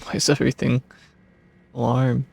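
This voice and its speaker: A male streamer with a mid-pitched, gravelly voice comments casually on his game. He is terse and offhand, husky in tone, with faint game music behind him. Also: level tone, moderate pace, darkish tone.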